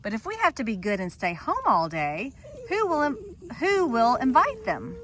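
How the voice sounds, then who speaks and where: A woman's voice: sits high, big pitch swings, normal speed, fairly bright tone. A woman voicing an eager small male puppy from a storybook, read aloud in her own voice. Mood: earnest.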